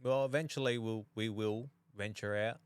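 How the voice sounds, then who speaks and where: A man from New Zealand, in his thirties, chatting on a podcast in an easygoing, halting way with lots of restarts. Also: fairly low pitch, steady tone, smooth, no room sound. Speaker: podcast co-host. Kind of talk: casual chat.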